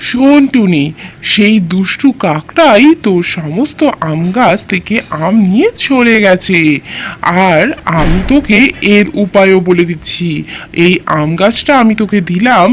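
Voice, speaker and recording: Woman playing a lowered-voice cartoon holy man. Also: a little hiss or hum, close to an everyday voice. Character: calm, kindly holy man who gives remedies. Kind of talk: children's cartoon storytelling voice.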